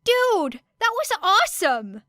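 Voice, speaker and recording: Young student, voiced by a woman narrator, high and loud, thrilled and awestruck, slangy exclamations for an audiobook. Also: big pitch swings, takes it slowly, no echo, studio quality, full character voice. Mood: excited, awed. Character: excited young hero student, awestruck and shouting.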